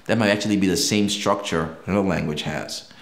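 A man's voice: sits low, brisk, gravelly, echoey room. A young man whose native language is Yoruba, hosting a casual language-learning video and explaining things with examples and frequent restarts. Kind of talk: casual talk to camera.

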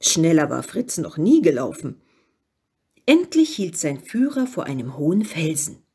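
A woman reading a fairy tale as scripted narration, expressive and with dramatic emphasis. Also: sits low, a little slow, slightly rough. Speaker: story reader.

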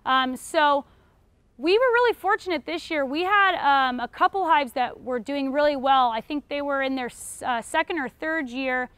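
Young American female teacher, high-pitched, informal Q&A lecture delivery, dry recording. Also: normal speed, fairly bright tone, no room sound. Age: young adult. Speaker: beekeeping class instructor. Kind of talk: informal lecture answering audience questions. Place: retail store aisle in front of a seated audience.

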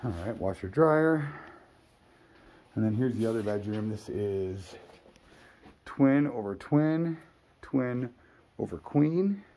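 A man giving a casual, off-the-cuff property walkthrough in a low-key, matter-of-fact way, as if thinking aloud. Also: animated, takes it slowly, long pauses, warm tone. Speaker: real estate agent giving a property walkthrough. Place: walking through a condo, briefly outdoors. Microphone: handheld phone at arm's length. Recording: handheld phone recording with background noise.

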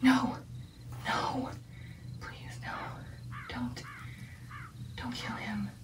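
A young woman voicing a devoted, earnest female vampire girlfriend in an intimate, breathy ASMR roleplay whisper. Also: a little high, far from the mic, close to an everyday voice. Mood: anxious pleading. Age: young adult. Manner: slow, deliberate, intimate, coaxing. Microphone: close ASMR microphone.

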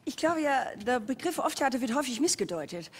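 High-voiced woman hosting in a big hall. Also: big pitch swings, quick pace. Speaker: award show co-host. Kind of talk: scripted award presentation banter. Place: large hall on stage. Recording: reverberant hall, audience, faint noise.

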